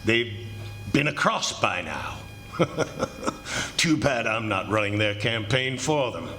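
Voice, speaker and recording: A man's voice: on the low side, expressive, slow, bright, forceful tone, heavily acted. A man playing a cocksure, scornful plantation boss with a commanding, theatrical delivery in 1940s radio drama style. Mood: smug. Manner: commanding, theatrical, barking orders. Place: live radio theatre performance on a college campus. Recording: clearly noisy live stage recording.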